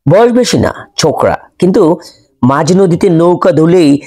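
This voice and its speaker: A man's voice: a little high, expressive, measured pace, mellow. A man reading scripted narration as the host of an audio story.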